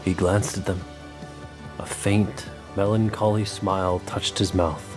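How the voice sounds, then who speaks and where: A man's voice: deep voice, flat delivery, unhurried. A man narrating a scripted dramatic story as its narrator. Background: music under the voice.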